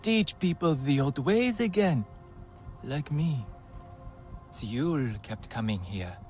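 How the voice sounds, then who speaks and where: An excitable young alien researcher for a video game. His pitch swings widely as he talks, though the pace is unhurried. He is earnest and halting, with faint music behind him. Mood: flustered, earnest. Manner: halting, animated, eager. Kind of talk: video game character acting.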